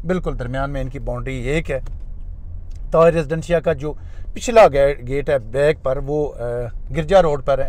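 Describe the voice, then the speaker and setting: A man with a Pakistani Urdu accent talks like a real estate agent, pitching plots in a chatty, halting way full of fillers. He narrates casually, as if touring a site, and his voice sits in a middle register for a man. The sound is recorded inside a moving car. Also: a little mellow. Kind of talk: casual narrated drive-through tour.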